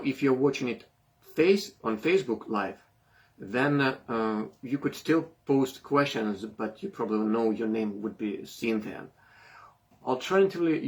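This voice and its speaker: A man from Ukraine talks through an online data seminar at a middle pitch. He speaks with plenty of fillers and self-corrections, pausing as he searches for words in a language that is not his first. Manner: hesitant, many fillers and restarts. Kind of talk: informal online seminar talk.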